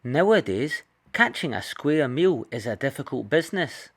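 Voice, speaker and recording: A man narrating a children's picture book in an expressive read-aloud style. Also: medium pitch, moderate pace, slightly rough. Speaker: storybook reader.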